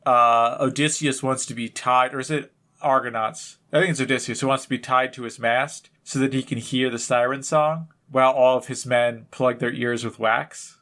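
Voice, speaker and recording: A man's voice: mid-range voice, fairly even intonation, moderate pace, sharp tone. Man bantering as a podcast co-host. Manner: jokey, rambling, goes off on tangents. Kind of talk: casual comedic banter.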